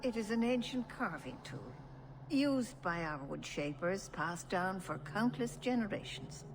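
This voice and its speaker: An actress playing a calm, stern yet caring older elven clan leader in a fantasy game, speaking formally. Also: female voice, mid-range voice, noisy background. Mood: grave, measured. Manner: measured, formal. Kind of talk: fantasy video game voice acting.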